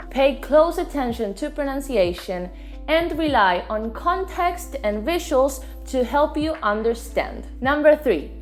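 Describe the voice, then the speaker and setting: A woman in her twenties with an Argentine accent, a native Spanish speaker teaching a scripted online lesson in an upbeat, clear presenter manner, seated indoors facing the camera. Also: a little high. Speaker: Spanish tutor. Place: indoor living room with plants and fairy lights. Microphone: seated to camera, medium framing.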